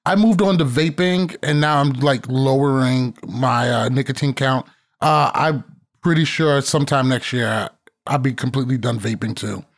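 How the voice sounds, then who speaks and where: A man's voice: mid-range voice, moderate pace. A man in his thirties hosting a podcast, chatting casually and brashly, swearing freely. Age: 30s. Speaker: podcast host.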